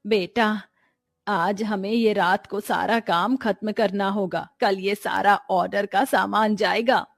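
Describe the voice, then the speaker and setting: A woman's voice: medium pitch, fairly even intonation, slow pace, vocal fry, no room sound, pitched below the performer's own voice. A woman voicing an older, cautious village mother-in-law with a stern, maternal manner in a storybook tale. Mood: worried, stern.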